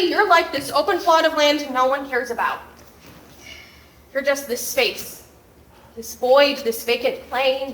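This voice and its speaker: Actress doing a sarcastic lady fencing coach, high and bossy, echoey stage. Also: a bit bright, a little hiss or hum. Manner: wry, dramatic. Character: sardonic self-mocking woman fencing coach nearing thirty. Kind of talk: stage play acting. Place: theater stage. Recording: roomy stage sound.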